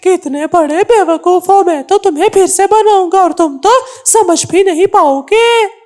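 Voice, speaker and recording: Mischievous male cartoon crow, played by a woman: extremely high, slow, taunting prankster. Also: a little mellow. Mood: gleeful taunting. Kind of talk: Hindi kids' cartoon dubbing.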